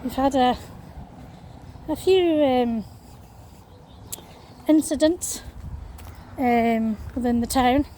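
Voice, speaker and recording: Scottish woman, croaky voice, strolls along chatting slow, um-ing a lot, faint outdoor sound behind. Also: high-pitched, warm tone, slight background noise. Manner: hesitant, many fillers and restarts. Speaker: vlogger. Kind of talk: walking vlog chat. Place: outdoors on a path in a small town.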